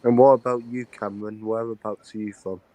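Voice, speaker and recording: A man's voice: on the low side, flat delivery, fairly quick, warm tone, a little hiss or hum, rough recording. A man chatting on a stream, hesitant, stammering and restarting his questions. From United Kingdom. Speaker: stream guest. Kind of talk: casual live-stream chat.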